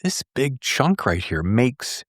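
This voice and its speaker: A male podcast host in casual interview mode, with an average-pitched voice recorded dry. He rambles in a chatty way, often voicing his own thoughts as if in dialogue. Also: sing-song, slow pace, no echo.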